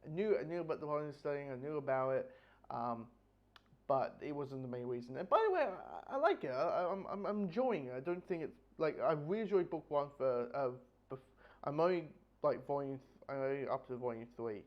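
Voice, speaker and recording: A somewhat muffled, lo-fi recording of a man with a slightly higher-than-average voice. He reviews in a loose, unscripted way, halting and doubling back on his phrases. His wording is blunt and casually sweary. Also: animated. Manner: rambling, halting, repeats phrases. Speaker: book reviewer.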